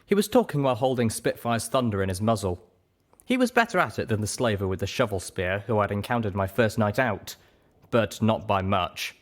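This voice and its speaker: A man's voice: lowish voice, lively intonation, brisk. A man reading scripted first-person fiction as a storyteller. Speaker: story reader.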